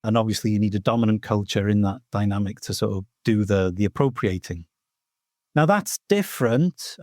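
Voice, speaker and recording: A middle-aged man from Wales speaks with a Welsh accent, his first language being Welsh. He explains things earnestly and thoughtfully, scattering "you know" through his answers. His voice sits at an ordinary pitch and is recorded dry and close. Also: a little mellow, dry recording. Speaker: guest teacher of Welsh myth. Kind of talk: reflective podcast interview answers.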